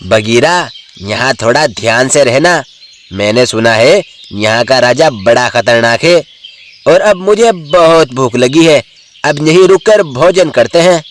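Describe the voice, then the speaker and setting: A man's voice: medium pitch, lively intonation, a little slow, slight background noise, close to an everyday voice. A man voicing a cautious bear, a loyal friend in an animal story, giving matter-of-fact advice. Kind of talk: Hindi animal storybook voice acting.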